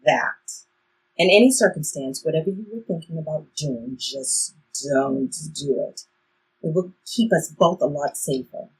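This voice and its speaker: A woman's voice: deep voice, measured pace, slightly rough, heavily acted, poor audio. A woman playing a cautious, wry time-traveling guide who instructs, in a stage play reading. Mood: cautioning.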